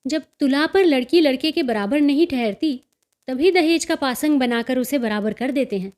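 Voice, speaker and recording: Woman acting an assured high-voiced mother-in-law. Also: animated, moderate pace, a little mellow. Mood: confident. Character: assured, proud mother-in-law planning a family wedding.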